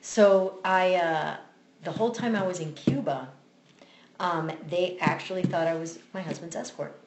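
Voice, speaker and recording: A woman in her thirties hosting a casual chat, telling stories in a lively, chatty way. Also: fairly low pitch, moderate pace, echoey room. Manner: lively, chatty storytelling with laughs.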